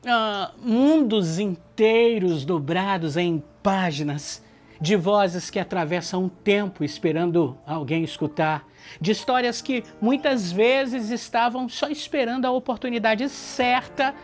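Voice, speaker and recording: A narrator with a Brazilian accent delivers reflective scripted narration in earnest, short, listed phrases. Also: medium pitch. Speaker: book project organizer and narrator. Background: background music.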